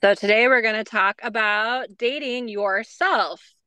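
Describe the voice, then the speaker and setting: A woman co-hosting a podcast, chatting casually with many fillers and leading a calm grounding exercise. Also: fairly high pitch, a little slow, clear, resonant tone, no room sound. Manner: bubbly, lots of fillers, leads a calm breathing exercise. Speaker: podcast co-host. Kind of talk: casual chat.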